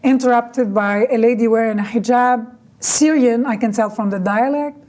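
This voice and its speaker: A woman from Lebanon who speaks English as a second language, her first being Arabic, talking forcefully and indignantly in an interview, stressing her points through lists. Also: a little high, steady tone, normal speed. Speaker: guest. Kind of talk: interview conversation.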